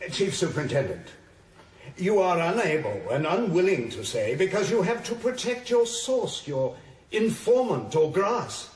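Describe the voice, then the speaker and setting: An actor playing a sardonic, needling defence barrister who questions formally and insistently in a radio drama. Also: male voice, high voice, big pitch swings, a little slow, darkish tone, roomy sound. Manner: formal, theatrical, insistent cross-examination with mock courtesy.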